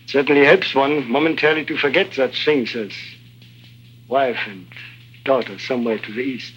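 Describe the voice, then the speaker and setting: An actor playing a jovial but fatalistic Eastern European guide, wry and theatrical, in an early 1950s spy drama. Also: male voice, medium pitch, moderate pace, a bit bright, slight background noise, natural voice. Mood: wistful. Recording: dull old 1950s soundtrack with music.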